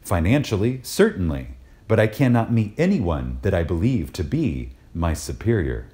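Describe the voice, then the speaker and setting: A man reading a spiritual lecture aloud in a reverent, dramatic style, deliberate and emphatic, with pauses for effect. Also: deep voice, very animated, mellow.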